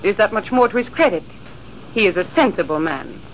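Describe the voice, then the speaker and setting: A woman's voice: mid-range voice, animated, fairly quick, close to an everyday voice. An actress playing a proud, fiery young woman who answers with haughty indignation, in 1950s radio drama style. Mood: indignant. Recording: dull, hissy old radio broadcast.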